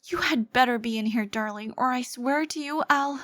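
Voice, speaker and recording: A woman playing an obsessive, possessive yandere girlfriend, menacing yet fragile and devoted, in a dramatic, halting ASMR roleplay style. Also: high-pitched, a bit bright, no room sound. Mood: urgent threat.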